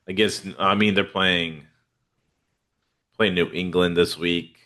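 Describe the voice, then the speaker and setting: Congested deep-voiced man hosting. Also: fairly even intonation, slow, pushed and bright. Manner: laid-back, rambling with many fillers. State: congested from a head cold. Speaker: podcast host. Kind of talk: casual fantasy football chat.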